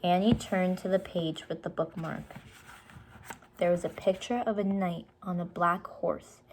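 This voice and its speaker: A woman's voice: lowish voice, fairly even intonation, a little slow, slight background noise. A woman reading a children's storybook aloud, in simple, explanatory style for young listeners.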